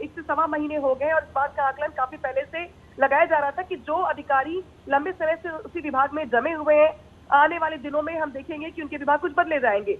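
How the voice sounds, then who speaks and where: A woman news reporter giving a live report in an explanatory, halting way with repeated words. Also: sits high. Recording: tinny narrow phone line.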